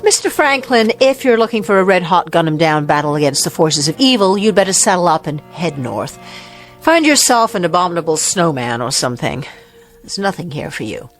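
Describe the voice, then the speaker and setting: Haughty, low-voiced woman with sarcastic bite. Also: big pitch swings, a little fast, faint music behind. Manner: sarcastic, wordy, theatrical. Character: haughty, sharp-tongued woman fashion editor hiding nervous fear. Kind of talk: radio drama acting.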